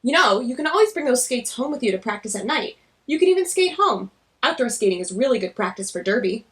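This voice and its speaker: A woman voicing a friendly, teasing, energetic teenage girl in a graphic novel read-aloud, chatty and emphatic. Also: on the high side, fast talker. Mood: breezy, reassuring.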